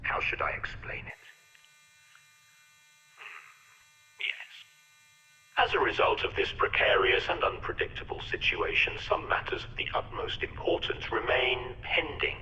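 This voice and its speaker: A man playing an eccentric, sinister chief surgeon in a video game, speaking with florid, mocking courtesy in a breathy half-whisper. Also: medium pitch, big pitch swings, unhurried, pauses often, pushed and bright, exaggerated voice. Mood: coolly calculating. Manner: theatrical, florid, mocking politeness. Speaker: game voice actor. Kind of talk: video game cutscene acting. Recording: echoey intercom in a reverberant hall.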